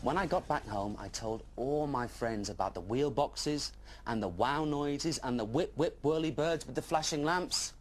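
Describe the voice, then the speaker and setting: A man's voice: mid-range voice, normal speed, slight background noise, close to an everyday voice. A man voicing an eager, wide-eyed young dragon who tells his adventure with childlike wonder in a children's storybook. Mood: excited.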